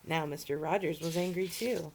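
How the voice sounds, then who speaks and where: A woman reading a children's storybook aloud in an expressive, animated way, as a storyteller for children. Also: sits low, normal speed, slight background noise. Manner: expressive, acts out the dialogue.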